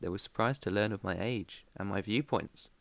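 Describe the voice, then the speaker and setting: A man's voice: lowish voice, moderate pace, no echo, lightly acted. A British man playing an ancient vampire master in an ASMR roleplay, proud, protective and tender, with an earnest, slightly formal way of talking. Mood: confident, reassuring. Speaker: roleplay voice performer.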